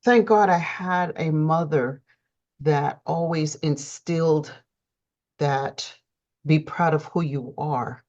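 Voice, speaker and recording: A woman host with a low voice talks in a loose, conversational way, dropping "you know" often. Her recording sounds slightly dull and lo-fi. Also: slow, darkish tone. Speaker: podcast host and coach. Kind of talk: casual talk-show chat.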